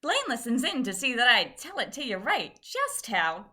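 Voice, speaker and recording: A woman's voice: a little high, big pitch swings, moderate pace, sharp tone, exaggerated voice. A woman playing a chirpy, joking sponsor announcer in 1950s radio ad style. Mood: chirpy, amused.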